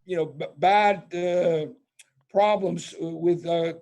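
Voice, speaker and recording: A man's voice: high voice, steady tone, slow, darkish tone. A man presenting to an online audience over a video call, explaining at length with frequent fillers and restarts. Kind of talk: webinar presentation.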